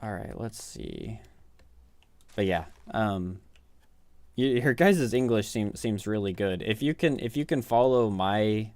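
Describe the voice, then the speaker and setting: Chatty Midwestern American man, low voice. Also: very animated, slow pace, a little mellow, dry recording. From Midwest United States. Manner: chatty, rambling, self-deprecating. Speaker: game streamer. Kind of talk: casual chat with live viewers while gaming.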